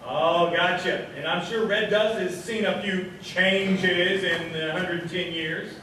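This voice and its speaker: Man as a high-voiced, slow-talking radio host. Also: steady tone, bright, forceful tone, full character voice. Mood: patient prompting. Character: folksy small-town radio host. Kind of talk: live comedy radio show. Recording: very echoey hall, distant and noisy.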